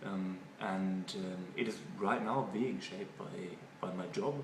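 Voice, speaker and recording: A man from Germany with a German accent, a native German speaker, giving halting, thoughtful interview answers and often repeating words. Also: sits low, fairly even intonation. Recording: echoey room, far from mic, noisy.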